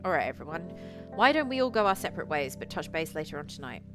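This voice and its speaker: Visual novel game: woman as cautious, sensible heroine, firm and reasoned, low voice over music. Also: sing-song, quick pace. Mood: organising. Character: level-headed woman protagonist, cautious group organiser.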